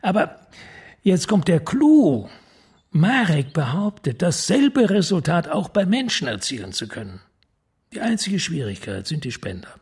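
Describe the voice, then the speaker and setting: An actor playing an urgent, persuasive police prefect in a radio crime drama, speaking formally with theatrical emphasis and pauses. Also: male voice, a little high, big pitch swings, a little mellow, breathy edge, full character voice. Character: urgent, smooth-tongued high police prefect, persuasive and secretive.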